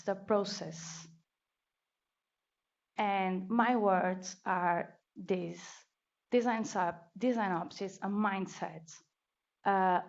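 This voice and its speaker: A woman from Portugal with a Portuguese accent, giving a talk at a meetup in a careful, deliberate way with restarts. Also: medium pitch. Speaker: meetup speaker. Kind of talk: conference talk. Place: meetup venue. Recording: dull lo-fi recording.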